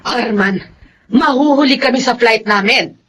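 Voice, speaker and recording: Shrill, haughty rich mother. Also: unhurried, a bit bright, a little hiss or hum. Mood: scornful. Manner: scornful, demanding, dramatic. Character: haughty, disapproving wealthy mother. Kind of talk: Filipino radio drama acting.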